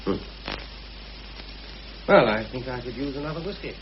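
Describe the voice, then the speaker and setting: Man acting British male confidant, doubtful, worried, lively swooping intonation, radio play, muffled old recording. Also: mid-range voice, moderate pace, slight background noise, close to an everyday voice. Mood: subdued, wary. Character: worried, sceptical male confidant and fellow conspirator.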